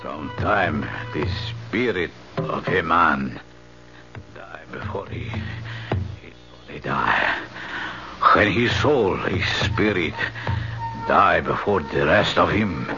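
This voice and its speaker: Casting: grieving island elder, deep and slow, grave chanting delivery in broken English, old radio drama. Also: male voice, expressive, vocal fry, music in the background, full character voice. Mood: mournful, solemn. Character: solemn mournful male island native elder lamenting the dead. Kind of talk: 1970s radio drama acting. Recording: dull 1970s AM radio recording.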